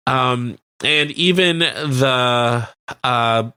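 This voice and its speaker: A man's voice: mid-range voice, very slow, sharp tone, clean tone, dry recording. A man with a Canadian accent co-hosting a casual local radio news chat in an informal, slightly hesitant and lightly joking way. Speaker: radio co-host.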